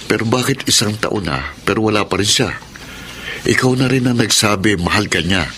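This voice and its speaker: An actor playing a pushy, obsessive suitor in a radio drama, insistent and forceful in manner. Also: male voice, on the low side, normal speed, slight background noise.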